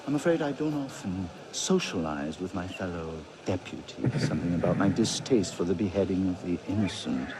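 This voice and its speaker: A man's voice: low voice, expressive, normal speed, few pauses, heavy background noise. An actor playing a witty, decadent middle-aged nobleman with an arch, mocking drawl. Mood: sly.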